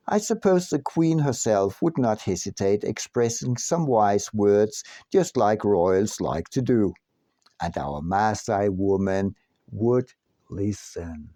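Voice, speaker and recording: A man reading his own book aloud as scripted narration, in a deliberate, measured manner with frequent pauses. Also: fairly low pitch, dark tone, dry recording.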